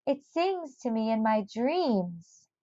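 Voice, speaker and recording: A woman's voice: on the high side, sing-song, takes it slowly, dark tone, highs cut off. A woman voicing a dreamy, determined male rat in a storybook, earnest and stubborn. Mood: earnest longing.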